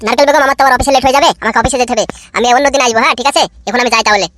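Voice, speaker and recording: A man's voice: extremely high pitch, rapid-fire, bright, forceful tone, dry recording, studio quality. A man voicing a bashful, cheeky, lovestruck young man in an amateur comic cartoon, giggly and repetitive. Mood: earnest.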